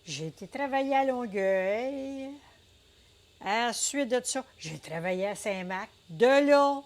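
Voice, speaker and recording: An older woman with a Québécois accent, telling her story in a casual interview, unhurried and hesitant, full of fillers. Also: fairly high pitch, animated. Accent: Québécois French. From Quebec. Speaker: interviewee, entrepreneur.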